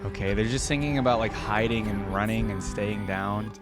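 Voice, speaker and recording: Casual man reacting over loud background music. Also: on the low side, normal speed. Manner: informal, exclamatory, thinking aloud. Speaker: reaction video host. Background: game trailer and theme music.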